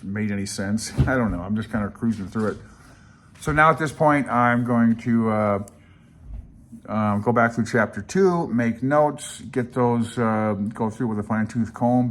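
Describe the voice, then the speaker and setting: Folksy low-voiced man, noisy home recording. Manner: folksy, self-deprecating, rambling and halting. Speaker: amateur radio hobbyist vlogger. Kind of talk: casual talk-through of a handbook, partly reading aloud.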